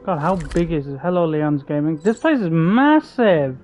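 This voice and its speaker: A woman's voice: sits low, expressive, moderate pace, a little mellow. A woman giving casual live reactions as she streams a video game. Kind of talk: casual live reaction commentary while playing. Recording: dull lo-fi mic, game music behind.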